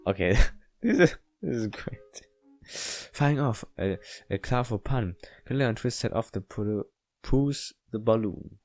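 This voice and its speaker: A man with a non-native English accent reads a visual novel aloud as a let's play host, haltingly, with casual asides. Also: mid-range voice, animated, a little mellow, no room sound. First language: not English. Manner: halting, stumbling reading.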